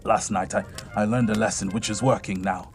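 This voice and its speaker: An actor playing an excitable, haunted old miser in an audio drama, theatrical and halting, with a husky voice. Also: male voice, on the low side, faint music behind, natural voice. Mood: nervous deference. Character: miserly old man, excitable, haunted, humbled before spirits.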